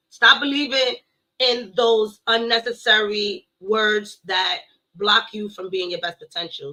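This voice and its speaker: A woman giving a casual tarot reading to her viewers, playful and blunt, cursing now and then and slowing down to recite affirmations. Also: mid-range voice, bright, forceful tone, low-quality recording. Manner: playful, blunt, swears, addresses viewers as y'all. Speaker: tarot reader.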